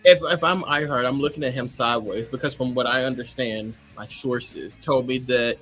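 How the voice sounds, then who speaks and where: A man's voice: mid-range voice, moderate pace, a bit bright, slightly rough, faint music behind. A man debating casually as a show panelist, circling back and rephrasing his point with lots of "like".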